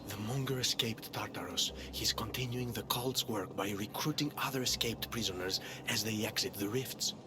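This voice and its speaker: An actor playing an oily, falsely humble traitor in a game cutscene, speaking formally and smoothly, slipping into near-whispers. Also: male voice, fairly low pitch, natural voice. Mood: sly, persuasive. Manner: smooth, ingratiating, formal, deliberate. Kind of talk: video game cutscene acting. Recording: loud music behind.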